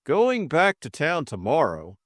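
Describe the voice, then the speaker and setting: Man playing an anxious, high-pitched posh young man. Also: huge pitch swings, measured pace, no room sound, studio quality, heavily acted. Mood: earnest. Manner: hesitant, exclamatory, old-fashioned slang. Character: anxious, earnest upper-class young man, protective and perturbed.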